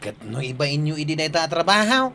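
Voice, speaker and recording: A man's voice: a little high, lively intonation, quick pace, vocal fry, pushed and bright, slight background noise, full character voice. A man playing a friendly, encouraging neighbour in an Ilocano radio comedy drama. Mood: friendly, admiring.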